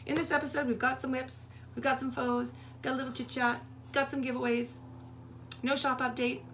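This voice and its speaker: A woman with a hoarse, scratchy voice and a gravelly, husky texture. She chats casually as a podcast co-host and rambles, with plenty of fillers. Her pitch sits in the middle for a woman, and a faint noise lies under the recording. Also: little pitch movement, a little fast, fairly bright tone. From Canada. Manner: chatty and rambling, with many fillers. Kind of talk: casual chat.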